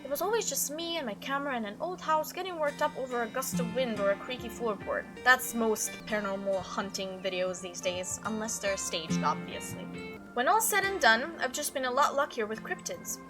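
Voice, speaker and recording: A woman voicing a chatty, excitable young female cryptid hunter from a visual novel, telling stories in an animated, gushing way. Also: on the high side, lightly acted. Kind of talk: visual novel character read-aloud.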